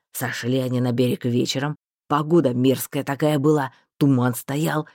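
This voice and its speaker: A woman voicing a bluff, talkative male mage with a rough, folksy, rambling way of speaking. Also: extremely low pitch, mellow, dry recording, clean studio sound, pitched below the performer's own voice, full character voice.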